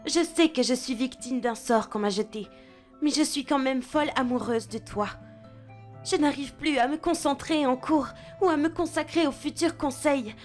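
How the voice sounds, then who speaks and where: A woman acts out a proud teenage schoolgirl from a dubbed anime, earnest and lovestruck. The voice is high and her wording formal. Soft music plays behind. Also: moderate pace, natural voice. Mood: anguished. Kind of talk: French anime fan dub acting.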